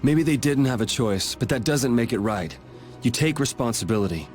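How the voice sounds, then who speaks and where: A man's voice: medium pitch, animated, normal speed, faint music behind, close to an everyday voice. Man acting a cool, dry young hero. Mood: resolute. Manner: casual, dry, firm. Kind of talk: video game voice acting.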